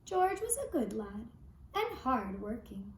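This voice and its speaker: A woman telling a scripted fairy tale for a puppet show in a gentle, deliberate, singsong manner. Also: on the high side, sing-song. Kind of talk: scripted fairy-tale narration with lullaby singing. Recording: echoey room with faint noise.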